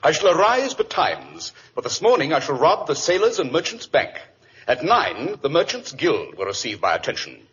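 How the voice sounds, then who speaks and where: A wry, urbane English gentleman sleuth from an old radio drama. His slightly high voice moves in lively swings as he teases with superior calm. The recording is dull and dated. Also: normal speed, a little hiss or hum. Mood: dryly amused. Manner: urbane, dry, teasing. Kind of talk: 1940s radio acting.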